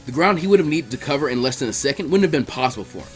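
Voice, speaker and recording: A man narrating fan fiction aloud in an expressive, scripted storytelling style. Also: medium pitch, rapid-fire, faint music behind.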